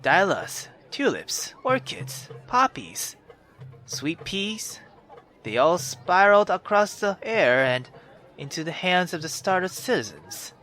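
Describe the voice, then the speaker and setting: A man's voice: high voice, takes it slowly. A man reading a visual novel aloud theatrically while playing it, breaking into casual, sweary commentary. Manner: theatrical, expressive, swears freely in asides. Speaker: video game player reading aloud with commentary. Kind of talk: dramatic visual novel narration with casual reactions.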